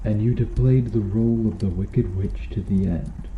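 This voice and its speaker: A man playing a stern medieval knight in an ASMR roleplay, questioning formally and earnestly with dramatic pauses. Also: lowish voice, echoey room, phone-quality sound, a little hiss or hum, lightly acted. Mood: grave, accusing. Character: stern medieval knight interrogating an accused noble witch.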